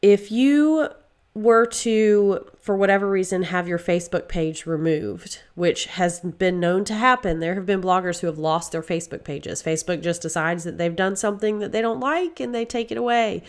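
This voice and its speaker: A woman hosting her own podcast, sounding nasal and congested from a head cold. Her voice sits at a middling pitch, and she talks in an upbeat, chatty way with a playfully sarcastic edge. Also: moderate pace, clean tone. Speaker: podcast host. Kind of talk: casual solo podcast talk.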